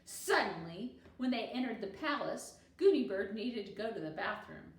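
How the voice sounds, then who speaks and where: A woman's voice: mid-range voice, animated, natural voice. Lady doing a bossy-sure little schoolgirl telling stories, emphatic, echoey distant recording. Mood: theatrical storytelling. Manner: emphatic, deliberate, instructive. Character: confident young schoolgirl storyteller, theatrical and self-assured.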